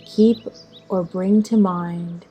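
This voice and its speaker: A woman with a slightly low voice narrates a guided meditation. She speaks very slowly and soothingly, leaving long pauses between instructions. Music plays clearly behind her, with birdsong. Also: steady tone.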